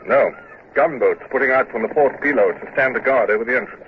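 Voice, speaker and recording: An actor playing a calm, commanding British naval commodore in a vintage radio drama, giving measured, dryly ironic orders and narrating. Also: male voice, mid-range voice, natural voice. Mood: composed command. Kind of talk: 1950s radio drama acting. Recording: muffled noisy old radio recording.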